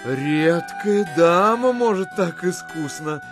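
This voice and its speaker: An actor playing an ingratiating, exaggeratedly courteous gentleman visitor in an audio drama, effusively savouring each compliment. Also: sits high, expressive, slow pace, over music. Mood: effusive praise. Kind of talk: theatrical audio drama acting.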